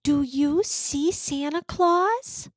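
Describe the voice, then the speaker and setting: A woman's voice: high voice, very slow, no room sound, voice pushed up. A woman voicing a small, eager and wistful young female sleigh in an earnest storybook manner. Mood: anxious hope. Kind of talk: storybook character voice for children.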